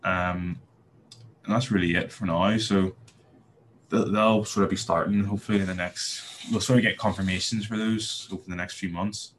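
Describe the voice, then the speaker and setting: Deep-voiced young Belfast man. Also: steady tone, normal speed, fairly bright tone, a little hiss or hum. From Northern Ireland. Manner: hesitant, many fillers and restarts. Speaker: podcast guest. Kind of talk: casual interview talk.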